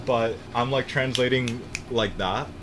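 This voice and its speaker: A young American man talks through a game on a live stream, rambling and swearing as he goes. He keeps restarting his sentences in a laid-back way. A steady hiss of noise sits behind his voice. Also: mid-range voice, a little slow. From United States. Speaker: streamer playing a building game. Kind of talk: casual gaming stream chat.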